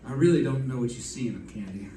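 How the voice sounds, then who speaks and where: A man playing a genteel, tippling gentleman sidekick with grand airs, pompous and flowery in old radio style. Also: mid-range voice, animated, fast talker, lightly acted. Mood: droll. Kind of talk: 1940s radio comedy-drama acting. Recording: echoey room, distant mic, faint noise.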